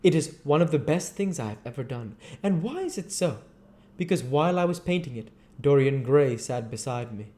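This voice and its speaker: A man's voice: medium pitch, lively intonation, normal speed, mellow, natural voice. A man voicing an ardent, anguished painter in a dramatic storybook reading, earnest and confessional. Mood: rapturous.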